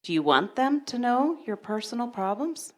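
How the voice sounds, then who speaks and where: A woman from Sudan, a gynecologist speaking on a conference panel, who talks in a measured way and leads the audience through questions. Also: fairly high pitch, very animated. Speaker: panelist, OB-GYN. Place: lecture hall.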